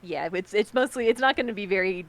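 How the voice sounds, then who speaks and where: A young woman hosting a streamed collab in casual chat, loud and bubbly, laughing often and poking fun at herself. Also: medium pitch, fast talker. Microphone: streaming mic over voice chat.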